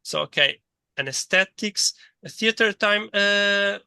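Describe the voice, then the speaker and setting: Bright, dry-voiced man lecturing, thinking aloud. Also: high-pitched, slow pace, creaky, clean tone, no room sound. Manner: hesitant, thinking aloud with repeated words. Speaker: course lecturer. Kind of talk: interactive online teaching, reading chat replies.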